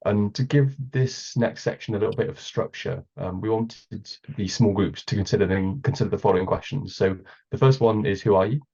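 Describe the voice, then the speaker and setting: Gravelly hoarse man on a call. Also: on the low side, brisk, mellow. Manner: hesitant, many ums. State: hoarse from a cold. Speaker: meeting co-host. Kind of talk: online meeting facilitation. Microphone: computer mic on a video call. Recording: muffled lo-fi video call audio.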